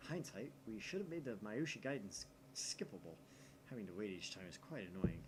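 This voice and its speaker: Animated man narrating, noisy recording. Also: lowish voice, brisk. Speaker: gaming video host. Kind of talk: narrating a visual novel aloud.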